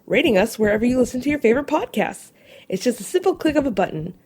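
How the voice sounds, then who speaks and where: A woman's voice: fairly high pitch, very animated, fast, darkish tone, husky, heavily acted. A woman voicing a cute, playful pet dog in a scripted podcast promo. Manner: playful, cutesy.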